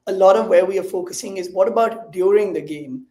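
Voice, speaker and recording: A man's voice: high voice, brisk, mellow. Indian man with roomy echo. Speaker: guest, startup CEO. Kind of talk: casual conversational explaining.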